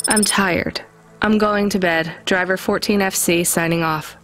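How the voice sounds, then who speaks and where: A woman acts a caring young female driver in a game drama. She speaks naturally at a middle pitch, a little weary, over faint music. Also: fairly even intonation, measured pace, a bit bright, close to an everyday voice. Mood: tired. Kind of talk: naturalistic video game acting.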